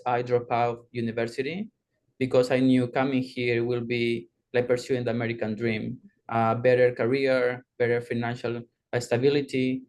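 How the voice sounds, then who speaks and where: Flat-voiced man from Peru. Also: medium pitch. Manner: steady, with frequent ums and uhs. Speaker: former student guest. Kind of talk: personal story on a video call.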